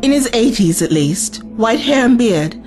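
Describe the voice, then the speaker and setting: A woman's voice: a little high, expressive, measured pace, darkish tone, soft background music. A woman playing an earnest, evasive young traveller in an audio drama, guarded and hesitant in her answers.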